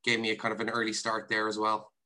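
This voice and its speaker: Even-toned, bright-voiced Irish man. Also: on the low side, fast talker. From Ireland. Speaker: podcast guest, investment and finance director. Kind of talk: conversational interview answers.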